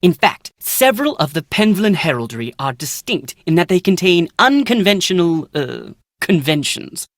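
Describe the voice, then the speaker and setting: An actor playing a pompous, excitable British scholar and would-be author in a video game, speaking in bookish, theatrical diction. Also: male voice, high-pitched, moderate pace, no room sound, studio quality. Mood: amused, musing. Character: Nigel Mukherjee, pompous, excitable British male scholar writing family history. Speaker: researcher and aspiring author. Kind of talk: video game character dialogue.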